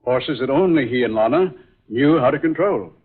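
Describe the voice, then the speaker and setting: An actor playing a solemn, dramatic man in an old-time radio drama, speaking in a deliberate, theatrical way. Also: medium pitch, lively intonation, husky. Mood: solemn, musing. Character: solemn male film star, grave and dramatic. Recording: muffled, tinny vintage radio recording.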